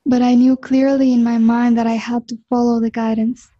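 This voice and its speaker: A woman interpreting a guest's words in short consecutive chunks, with an even, plain delivery. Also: fairly high pitch, moderate pace. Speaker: interpreter into English. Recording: muffled, dull sound.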